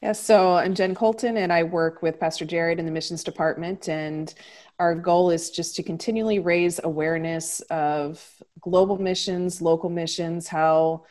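Low-pitched woman, earnest church staffer, sincere tone. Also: steady tone, moderate pace. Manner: earnest, warm enthusiasm.

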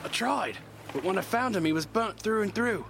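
Young deckhand, high creaky voice, stammering, respectful, nervous, seafaring audio drama. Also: male voice, lively intonation, moderate pace, noisy background. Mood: shaken, deferential.

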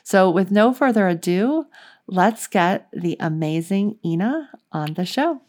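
A woman's voice: mid-range voice, animated, unhurried, over music. A middle-aged woman hosting a podcast, introducing and interviewing her guest with gushing enthusiasm. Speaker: podcast host.

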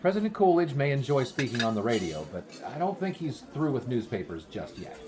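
An actor playing a wry, self-assured 1920s sportswriter in an audio drama, with a dry, measured manner. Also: male voice, mid-range voice, very animated, music in the background, close to an everyday voice. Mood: quietly proud. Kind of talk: period audio drama acting.

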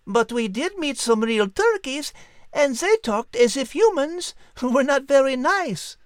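A woman's voice: high voice, animated, measured pace, no echo. A woman voicing a curious, refined female animal with a French accent, polite and precise. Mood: thoughtful.

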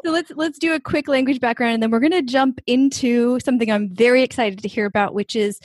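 A woman hosting a podcast interview, enthusiastic and giggly, often stumbling over repeated words in her excitement. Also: on the high side, quick pace, no echo. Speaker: podcast host. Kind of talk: casual interview chat.

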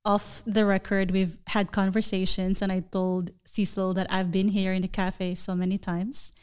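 A woman's voice: mid-range voice, little pitch movement, normal speed, a little mellow, clean tone. A woman hosting a podcast, reading an upbeat scripted intro and then chatting in a welcoming way with her guest. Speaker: podcast host.

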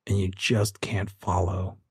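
A man running a tabletop horror game as game master, narrating dramatically with suspenseful, deliberate phrasing. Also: low-pitched, level tone, darkish tone, breathy edge, dry recording, clean studio sound. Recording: music bed under the voice.

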